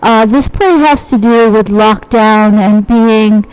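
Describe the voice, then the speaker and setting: A woman's voice: fairly high pitch, fairly even intonation, slow pace, darkish tone, smooth, poor audio. A woman interviewer asking unscripted questions, feeling her way hesitantly through long, spontaneous phrasing.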